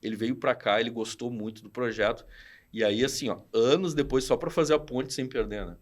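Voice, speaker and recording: Animated storyteller from southern Brazil, man in his 40s, slangy, acts out quotes, mid-pitched voice. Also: fairly quick. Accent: southern Brazilian Portuguese. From Rio Grande do Sul, Brazil. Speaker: podcast guest, studio founder. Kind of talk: casual storytelling chat.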